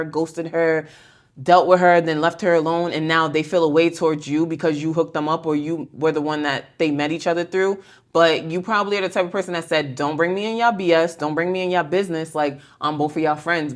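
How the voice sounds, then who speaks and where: A woman with a fairly low voice gives a casual tarot reading as the host of her own video. She speaks bluntly and conversationally, full of slang. She talks straight to her viewers like friends, animatedly spinning out scenarios. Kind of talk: casual pick-a-card tarot reading to camera.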